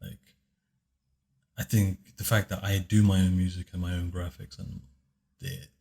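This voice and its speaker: A man with a very deep, low voice, chatting casually in a commentary on his own video, speaking softly and hesitantly with many fillers. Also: level tone, a little slow, creaky. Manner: soft-spoken, hesitant, with many fillers and restarts. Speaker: video creator giving commentary.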